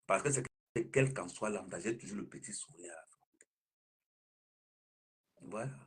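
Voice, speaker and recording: Husky man, flowery sweet talk. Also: lively intonation, moderate pace. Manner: flowery, sweet-talking, bursts of high laughter. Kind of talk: casual live-stream chat.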